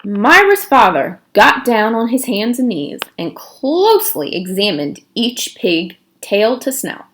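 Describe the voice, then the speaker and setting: Woman narrator for children's fiction read-aloud, expressive, widely varied pitch. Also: slow, hardly any pauses.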